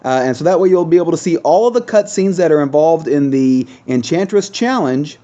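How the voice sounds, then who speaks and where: A man hosting a gaming video with casual, chatty commentary, thinking aloud with trailing pauses. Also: a little high, animated, mellow, lo-fi sound.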